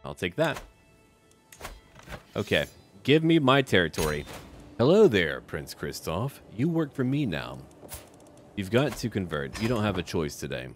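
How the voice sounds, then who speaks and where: Low-voiced man with lively game commentary. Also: unhurried, pauses often, soft background music. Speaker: gaming video creator playing a strategy game. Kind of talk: roleplay gameplay commentary, speaking to game characters.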